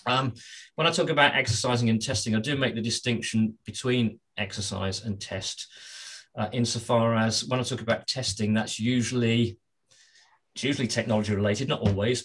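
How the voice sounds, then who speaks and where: Male guest expert, husky and slightly hoarse, measured explainer. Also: fairly low pitch. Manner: measured, with many restarts and repeated words. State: slightly hoarse, clearing his throat. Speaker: business continuity expert and author, guest. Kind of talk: explanatory interview answers.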